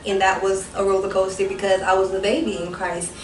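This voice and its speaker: Soft-voiced young woman in an echoey room. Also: mid-range voice, level tone, a little fast. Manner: rambling, self-correcting storytelling. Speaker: guest, ministry founder. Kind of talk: personal testimony in casual chat. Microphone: distant mic. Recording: roomy, distant mic with background noise.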